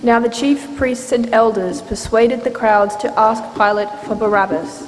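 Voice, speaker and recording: A woman giving a measured, solemn scripted narration of scripture as part of a dramatic reading. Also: fairly high pitch. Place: inside a church, live reading. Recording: reverberant church hall, faint noise.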